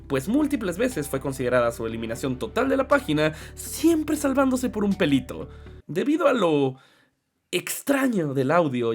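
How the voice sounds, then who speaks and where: Animated young man narrating. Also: a little high. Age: 20s. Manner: mock-serious, conversational, sarcastic asides. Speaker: video essay narrator. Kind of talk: humorous scripted narration. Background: background music.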